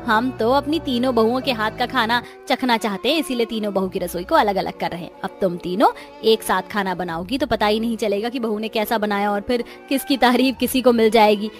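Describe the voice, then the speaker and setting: A woman's voice: fairly high pitch, lightly acted. A woman voicing an older, authoritative but fair mother-in-law in a cartoon story.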